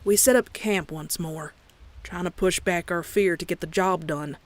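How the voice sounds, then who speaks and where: An uneasy young country mare with a Southern US drawl, voiced by a woman, tells a horror story in dramatic first person. Her talk is folksy and anxious. Music plays clearly behind her. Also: on the low side, normal speed, close to an everyday voice. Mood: brooding, uneasy.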